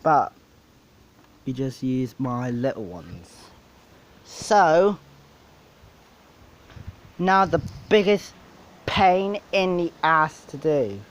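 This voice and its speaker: Slow-talking man in a casual gear walkthrough. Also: a little high, expressive. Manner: rambling, halting explanations. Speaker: DJ presenting his PA setup.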